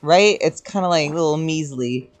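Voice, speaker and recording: A man's voice: on the high side, moderate pace. A man giving casual gameplay commentary as a streamer, rambling and reactive, swearing casually. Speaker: game streamer. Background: game music.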